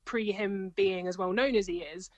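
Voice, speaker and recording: A woman's voice: mid-range voice, darkish tone, no echo. A woman, a singer and comedian, chatting enthusiastically as an interview guest. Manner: enthusiastic, gushing, quick to laugh. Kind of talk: casual interview chat.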